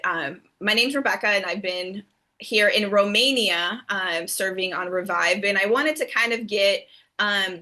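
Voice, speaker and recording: A woman hosting a casual group interview over a video call, speaking informally and haltingly. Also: medium pitch, sharp tone.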